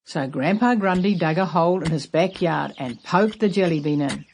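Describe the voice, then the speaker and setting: A woman narrating a children's story aloud as a storyteller, expressive and emphatic. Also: low-pitched, moderate pace, a little hiss or hum.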